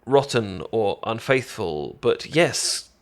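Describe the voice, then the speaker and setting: A British man playing a caring, chatty best friend in an intimate ASMR roleplay, talking casually with halting, stammering explanations. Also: lowish voice, sing-song, gravelly, exaggerated voice. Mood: wry, hesitant. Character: caring, chatty male best friend teaching sushi making.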